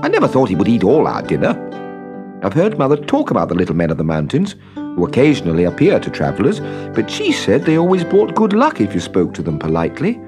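A man's voice: lowish voice, huge pitch swings, quick pace, over music. A man voicing a frank young boy in a storybook reading.

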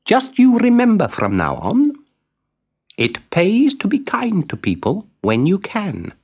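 A man's voice: high-pitched, huge pitch swings, measured pace, creaky, darkish tone, husky, pitched above the performer's own voice, heavily acted. A man voicing a small talking cricket in a storybook reading, speaking in a scolding, moralizing way.

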